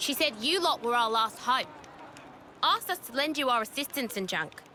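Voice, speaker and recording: Brash young British fighter for a game cutscene: high, forceful, cocky, slangy. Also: female voice, animated, normal speed, soft background music. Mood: blunt. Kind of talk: video game cutscene acting.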